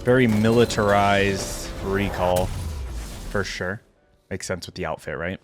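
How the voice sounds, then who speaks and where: A man's voice: on the low side, a little slow. A man giving casual, enthusiastic reaction commentary on game skins, thinking aloud as he goes, with game music behind him. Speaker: reaction video host. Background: game music and effects.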